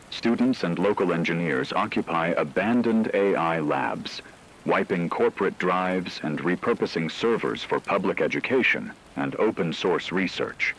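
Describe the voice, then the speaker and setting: Deep-voiced actor as solemn male radio anchor. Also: a bit bright, a little hiss or hum, full character voice, poor audio. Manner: formal, measured. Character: male radio news anchor of a post-elite Earth, earnest and solemn. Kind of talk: scripted news broadcast narration.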